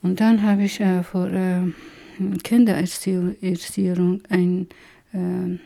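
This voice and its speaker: A middle-aged woman from Afghanistan with an Afghan accent, haltingly telling her personal story with many pauses. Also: on the low side, flat delivery, creaky, mellow. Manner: halting, many hesitations, slow and searching for words. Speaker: interviewee. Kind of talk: personal testimony.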